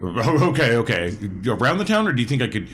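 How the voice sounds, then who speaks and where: A man's voice: medium pitch, very animated, brisk, a bit bright. A man playing a tabletop role-playing game, chatting casually and jokingly as he improvises and often repeats himself. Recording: background noise with room ambience.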